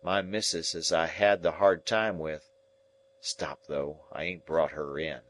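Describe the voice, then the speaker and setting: A man voicing a rough old male convict, gruff and plainspoken, in a rustic dialect. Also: sits low, fairly even intonation, measured pace, a bit bright, pitched below the performer's own voice. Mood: grim storytelling.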